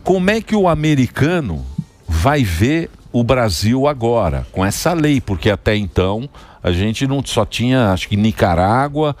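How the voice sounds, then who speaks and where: A man's voice: mid-range voice, moderate pace, faint music behind. A man hosting a radio talk show, introducing his guest and asking questions with enthusiasm. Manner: lively, enthusiastic interviewer.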